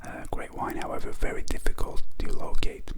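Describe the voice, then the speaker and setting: Whispering man, ASMR. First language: Greek. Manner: soft unhurried whisper with many fillers. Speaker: ASMR channel host.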